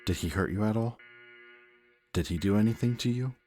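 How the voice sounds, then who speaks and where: A man acting a possessive, anxious antihero villain in an emotional audio roleplay, halting and intense as he mutters. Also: lowish voice, dark tone, lightly acted. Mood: relieved, frantic concern. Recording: music behind the voice.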